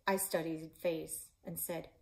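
A woman in her forties, a librarian and author, reading her children's novel aloud in a living room, voicing the characters with shouts and whispers. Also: low voice, little pitch movement, unhurried, vocal fry. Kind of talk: children's book read-aloud. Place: living room in front of a stone fireplace.

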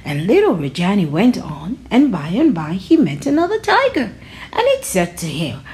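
A woman reading a children's story aloud in an expressive narrating style. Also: medium pitch, normal speed, a little hiss or hum.

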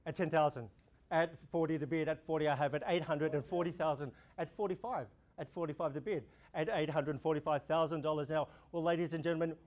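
A young man with an Australian accent, an auctioneer running a practice auction, calling bids in a steady repeating rhythm. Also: a little high. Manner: rhythmic, repetitive bid calling, gestures while talking. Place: indoor room before a projection screen.

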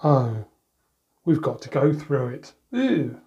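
Middle-aged male storyteller, grandad role, unhurried pace, expressive picture-book reading for small children. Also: mid-range voice, muffled recording. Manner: expressive, with playful sound words and drawn-out phrases. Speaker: grandad reading bedtime stories. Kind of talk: picture-book read-aloud for young children. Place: indoors in a room at home, by a door.

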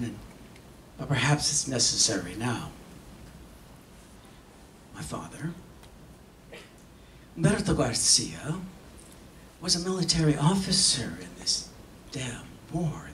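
Slow, solemn man in a dramatic reading. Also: medium pitch, a bit bright. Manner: solemn, theatrical, with long pauses. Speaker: stage performer giving a reading. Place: stage in an auditorium. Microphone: stand microphone heard from a distant camera. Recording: echoey hall, distant camera, faint noise.

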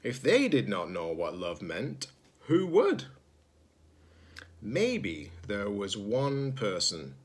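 A man in his forties, a teacher-librarian reading a children's picture book aloud, expressive and slightly husky, pausing to ask listeners questions. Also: on the low side. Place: indoors, room at home with sloped ceiling.

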